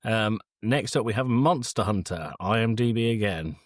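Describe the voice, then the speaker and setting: A man's voice: lowish voice, animated, moderate pace, no room sound, studio quality. A British man co-hosting a podcast, casually running a quiz for the others.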